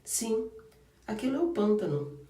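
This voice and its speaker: A man's voice: sits high, very animated, unhurried, mellow, pitched above the performer's own voice. A man playing a cheerful, chatty young maid. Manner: chatty, good-humored and firm. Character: cheerful, sturdy young housemaid. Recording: roomy and distant from the mic.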